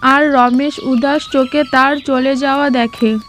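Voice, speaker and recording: A woman's voice: sits high, steady tone, a little slow, a bit bright, a little hiss or hum. A woman narrating a scripted cartoon folk tale as a storybook narrator. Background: background music.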